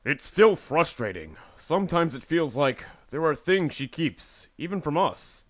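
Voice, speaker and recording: Young male friend played by an actor, audio drama: earnest, worried, casual, lively mid-range voice, close dry sound. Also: fairly high pitch, unhurried, dry recording. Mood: frustrated.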